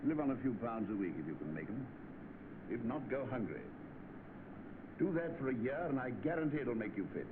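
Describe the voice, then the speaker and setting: An actor playing a stern, scornful English doctor in a vintage British film, speaking formally with cutting emphasis. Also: male voice, medium pitch, sing-song, brisk, pauses often, phone-quality sound, natural voice. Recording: thin, noisy old film soundtrack.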